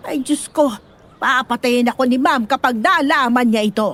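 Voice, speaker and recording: A woman's voice: high voice, moderate pace, fairly bright tone. A woman playing a protective, fussy middle-aged nanny in an audio drama, nagging and exclaiming in a Filipino accent. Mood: alarmed.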